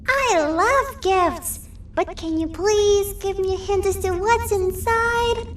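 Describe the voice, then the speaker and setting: A squeaky, naive little creature for a video game. The voice is extremely high, slow and cartoonish. It comes from some distance off the mic. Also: female voice, slight background noise. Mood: cheerful. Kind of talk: video game character acting.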